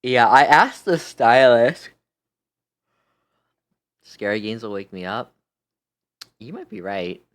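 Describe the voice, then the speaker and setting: A man's voice: medium pitch, sing-song, takes it slowly, pauses often. A tired man hosting a livestream, chatting casually and thinking aloud as he goes. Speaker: livestream host.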